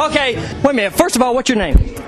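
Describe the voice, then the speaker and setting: High-voiced teasing male host. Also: lively intonation, fast talker. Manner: teasing, laughing, high-energy. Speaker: show host. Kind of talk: upbeat joking host chat, interviewing fans. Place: crowded music venue, backstage area. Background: crowd chatter.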